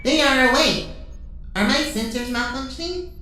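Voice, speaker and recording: A woman's voice: sits high, moderate pace, close to an everyday voice. A woman playing an inquisitive robot helper in an audio drama. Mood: concerned, curious. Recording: very echoey chamber, music underneath.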